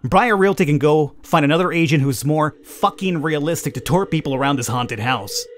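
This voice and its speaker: A man hosting a gaming video, reading a horror visual novel aloud as expressive, dramatic first-person narration. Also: on the high side, normal speed. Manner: expressive, acts out the heroine's thoughts. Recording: clear background noise, game ambience.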